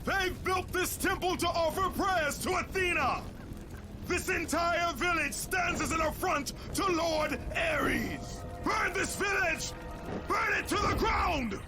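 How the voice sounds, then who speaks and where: A man's voice: very high-pitched, takes it slowly, shouting, exaggerated voice. A male actor playing a furious, vengeful Greek warrior in a video game, commanding and menacing in his declamation. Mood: roaring fury. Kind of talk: dramatic video game acting. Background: music.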